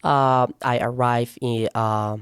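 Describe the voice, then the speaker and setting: A man's voice: mid-range voice, steady tone, takes it slowly, clean tone, dry recording, studio quality. A nineteen-year-old man from northern Iraq whose first language is Kurdish, answering interview questions hesitantly with many fillers and restarts. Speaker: guest, university student.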